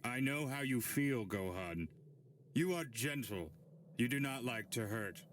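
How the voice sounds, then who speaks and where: An actor playing a gentle, solemn android who pleads earnestly and softly in a dramatic anime dub. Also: male voice, medium pitch, lively intonation, a little slow, a little hiss or hum. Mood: solemn pleading. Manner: soft-spoken, earnest pleading. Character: gentle, solemn male android, a disembodied head.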